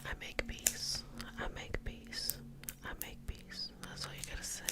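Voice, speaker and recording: A woman whispering breathily and soothingly as an ASMR reiki healer, slowly guiding a healing session and repeating calming phrases.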